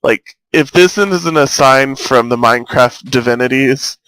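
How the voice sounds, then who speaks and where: A man's voice: mid-range voice, measured pace, no echo, poor audio. Chatty young man on an earbud mic. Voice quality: slight lisp. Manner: chatty, rambling, easily excited. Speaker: gaming YouTuber. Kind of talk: casual let's-play commentary. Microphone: cheap earbud microphone. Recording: thin, robotic-sounding earbud mic.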